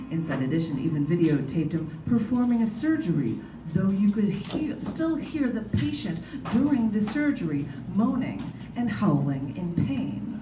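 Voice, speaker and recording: Female author at a live bookstore reading, deliberate pacing with pauses, distant mic, clearly noisy background. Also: medium pitch, warm tone, roomy sound. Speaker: writer reading own prose and poems. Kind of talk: live literary reading of prose and poetry. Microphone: camera mic at a distance.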